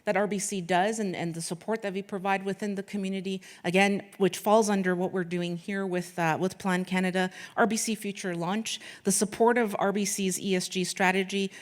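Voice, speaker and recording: A woman's voice: mid-range voice, steady tone, brisk, few pauses. A woman in her late thirties, from Afghanistan, giving an earnest speech at an event with frequent restarts and fillers. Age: late 30s. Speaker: corporate sponsor speaker.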